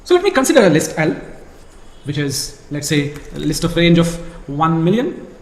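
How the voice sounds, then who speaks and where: Animated lecturer in an echoey hall. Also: male voice, fairly high pitch, normal speed, a little hiss or hum. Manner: lively, asks rhetorical questions. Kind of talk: recorded course lecture.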